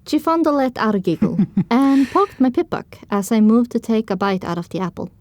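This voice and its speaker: A woman narrating as a young pony heroine, telling her own story in descriptive, expressive scripted audiobook style. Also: fairly high pitch, a little fast, no room sound, natural voice.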